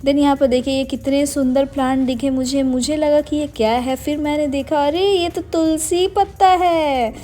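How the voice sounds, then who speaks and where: A woman's voice: sits high, steady tone, moderate pace, hardly any pauses, mellow, slight background noise. A young adult woman narrating a casual daily-life vlog, chatty and meandering, mixing English words into her Hindi. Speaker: vlogger.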